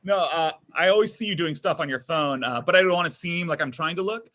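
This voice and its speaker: Insecure boyfriend, thirties, male actor, lightly high-pitched, conversational comedy delivery, presses for reassurance. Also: a little fast, pushed and bright. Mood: suspicious. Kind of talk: comedy pilot table read.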